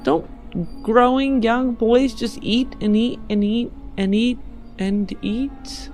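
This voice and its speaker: A woman's voice: a little high, steady tone, slow pace, a little mellow, faint music behind. A woman voicing a doting, easily wounded genteel mother in a tabletop game, coaxing and theatrical.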